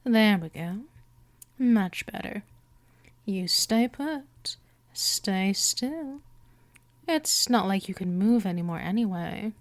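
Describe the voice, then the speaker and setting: A gentle, dominant female vampire, acted by a woman in an ASMR hypnosis roleplay. She speaks very slowly at a medium pitch, soothing and teasing her prey, and often drops into a breathy whisper. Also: lively intonation. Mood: soothing, commanding.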